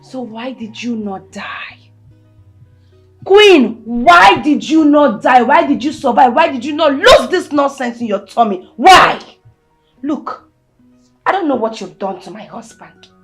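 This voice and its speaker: Bullying wife, played by a woman; high, shrill scolding, echoey room sound. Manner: scolding, insulting, repeated angry questions. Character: bullying jealous wife, loud and threatening. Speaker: actress in a domestic skit.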